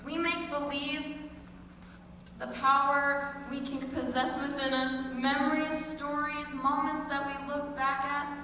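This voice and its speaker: A woman's voice: high-pitched, little pitch movement, slow pace, bright, forceful tone. A teenage girl reciting a monologue as a student performer. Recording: very echoey hall, distant mic, faint noise.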